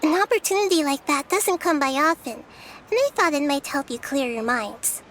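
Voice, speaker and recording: A woman voicing a wise, serene little girl deity in a video game, speaking in a measured, musing, matter-of-fact way. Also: high-pitched, animated, heavy background noise. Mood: gentle, consoling. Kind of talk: video game cutscene voice acting. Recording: game music underneath. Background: music.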